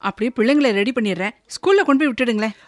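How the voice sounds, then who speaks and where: A woman voices a harried young housewife who talks fast and high, pleading and apologetic. The recording is dry and close. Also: expressive, dry recording, close to an everyday voice.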